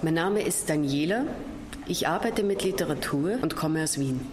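A woman's voice: deep voice, lively intonation, moderate pace, background noise. Woman giving a short spontaneous statement. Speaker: literature educator.

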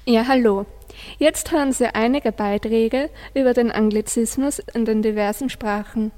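A woman's voice: a little high, moderate pace, dark tone. A female teenage student, giving her view in a radio discussion. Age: teens. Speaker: student radio presenter and panelist. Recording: long hall-like reverb.

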